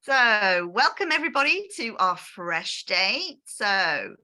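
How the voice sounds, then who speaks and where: Bright chatty woman with Manchester accent. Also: mid-range voice, sing-song, slow pace. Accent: northern English, Manchester area. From Austria, grew up in England. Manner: chatty, friendly, rambling asides. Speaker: host of online product session. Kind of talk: presenting on a video call. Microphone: video call.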